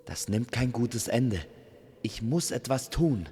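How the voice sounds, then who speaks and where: A man's voice: medium pitch, huge pitch swings, takes it slowly, darkish tone, long reverb tail, a little hiss or hum, pitched above the performer's own voice, full character voice. A man voicing an agitated young winged love god in a fantasy audio story, exclaiming urgently. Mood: alarmed.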